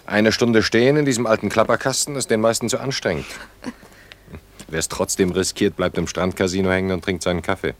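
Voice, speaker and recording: A man acting as a courteous bachelor of thirty-two in a radio play. His voice is low, and he talks in a hesitant, conversational way with dry, gentle irony, eager to be a good host. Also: steady tone, a little fast, slight background noise, lightly acted. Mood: wistful. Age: 30s. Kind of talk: naturalistic German radio play dialogue.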